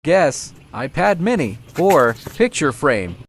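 A quiz host making short guessing-game announcements, recorded with very noisy clicking and rumble behind. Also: very animated, slow pace.

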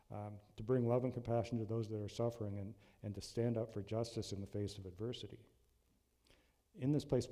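A man in his sixties giving an earnest, measured sermon from a church lectern, with frequent ums and restarts. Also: medium pitch, warm tone. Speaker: lay preacher. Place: church sanctuary. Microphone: lectern mic. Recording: long hall reverb in a church.

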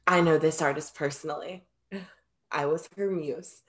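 An earnest immortal muse played by a young woman in a theatrical reading. Her voice sits on the low side, and she words things formally and precisely. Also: steady tone, normal speed, close to an everyday voice. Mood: proud, fond. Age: young adult. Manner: formal, precise, avoids contractions. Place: indoor room at home, video call.

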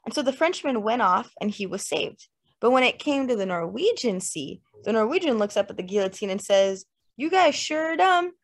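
A woman's voice: a little high, expressive, normal speed. A woman voicing a laid-back young man telling a joke conversationally in an audiobook. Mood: joking. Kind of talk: dramatized literary audiobook dialogue.

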